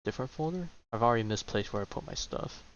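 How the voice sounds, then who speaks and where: A man's voice: mid-range voice, expressive, fast talker, a little hiss or hum. A man giving casual, offhand commentary as he streams a video game, with muttered asides. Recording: slightly dull microphone, game music behind. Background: game music.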